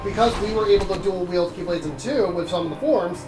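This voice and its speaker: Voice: mid-range voice, fast, roomy sound, music in the background. A let's-play co-commentator explaining game lore with dry, laid-back remarks. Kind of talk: casual reaction commentary.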